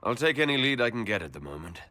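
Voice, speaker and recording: An actor playing an earnest, commanding leader in a video game, direct and questioning, with a rough-edged voice. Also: male voice, medium pitch, big pitch swings, fast talker, bright, forceful tone, dry recording. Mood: serious, probing.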